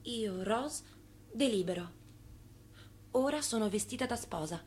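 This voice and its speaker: Spirited young wife in a radio play, high voice, devoted and expressive. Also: female voice, a little slow, a little hiss or hum. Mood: subdued. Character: Rose, devoted, spirited young bride, defensive of husband. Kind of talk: theatrical radio drama acting.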